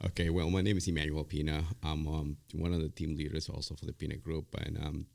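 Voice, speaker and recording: A middle-aged man from Ecuador and Spain, a native Spanish speaker, talking as an interview guest in a halting, repetitive way. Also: rumbling low, little pitch movement, creaky, a little mellow. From born in Ecuador, raised in Spain. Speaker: podcast guest, real estate team leader. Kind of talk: conversational interview.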